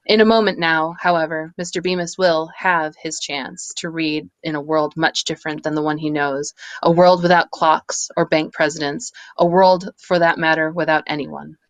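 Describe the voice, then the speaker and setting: A woman narrating a radio-play script in a live reading, measured and deliberate. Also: sits low, steady tone, dry recording. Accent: American.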